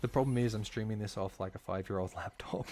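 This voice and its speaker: A man talking casually while live-streaming a game, slightly hoarse, chatty and full of fillers, from a home streaming setup. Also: on the low side, quick pace, a little mellow, a little hiss or hum. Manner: chatty, filler-heavy, talks while playing. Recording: clear background music under voice.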